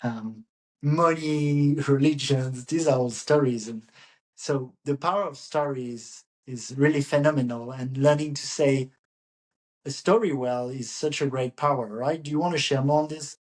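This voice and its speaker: A man's voice: mid-range voice, unhurried. Reflective male podcast host. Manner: reflective, affirming. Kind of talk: podcast interview.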